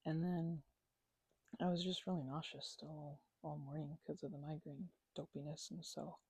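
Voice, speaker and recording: A woman's voice: sits low, steady tone, normal speed, mellow, breathy edge, dry recording, full character voice. A woman playing a chatty, playfully indignant housemate recovering from a migraine, rambling and teasing in an intimate ASMR roleplay. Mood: sheepish, rambling. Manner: rambling, hesitant, teasing, mock-offended. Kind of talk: intimate ASMR roleplay, improvised-sounding conversation.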